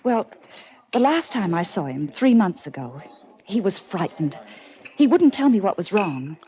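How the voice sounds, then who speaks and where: A woman's voice: medium pitch, sing-song, unhurried, warm tone, slight background noise. An actress playing a guarded, anxious, well-spoken woman in her twenties, earnest and hesitant, in a 1940s radio drama. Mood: guarded, worried. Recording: dull old 1940s radio recording.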